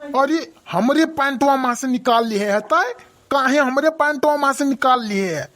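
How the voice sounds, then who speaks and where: A man's voice: extremely high pitch, normal speed, fairly bright tone. A man voicing a scolding, sarcastic village father in a comic cartoon, with an Awadhi accent. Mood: indignant mocking. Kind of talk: comic rustic cartoon dialogue.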